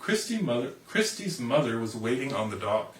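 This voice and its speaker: Casting: male storybook reader, very expressive intonation, echoey and slightly distant sound. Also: medium pitch, normal speed, background noise. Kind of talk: animated children's storybook narration. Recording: roomy, distant microphone.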